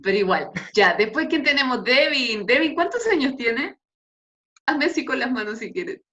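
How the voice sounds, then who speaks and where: A woman's voice: high-pitched, expressive, moderate pace, sharp tone. A woman in her thirties with a Chilean Spanish accent, a pastor speaking casually and chattily as she leads an informal Bible talk on a video call. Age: 30s. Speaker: pastor leading a youth meeting. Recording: dull, lo-fi video call audio.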